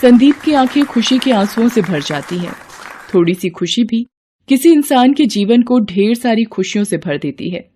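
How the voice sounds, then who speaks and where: A woman's voice: fairly high pitch, lively intonation, normal speed, warm tone, a little hiss or hum. A woman reading scripted narration for a moral bedtime story.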